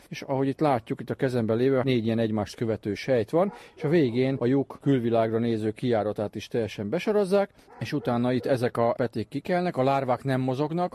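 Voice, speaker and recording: A man's voice: mid-range voice, dark tone. A man speaking as an interviewed spokesman, explaining things informally and in detail. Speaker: conservation spokesman being interviewed. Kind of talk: spontaneous explanatory interview talk.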